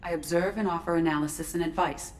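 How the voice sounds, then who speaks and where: A composed female starship AI played by a woman speaks in a lowish voice with precise, detached formality. It is sci-fi game dialogue, with an audible bed of ambient noise behind it. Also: fairly even intonation, quick pace, close to an everyday voice. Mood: calm, neutral. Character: calm, formal female shipboard artificial intelligence. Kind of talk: scripted video game dialogue.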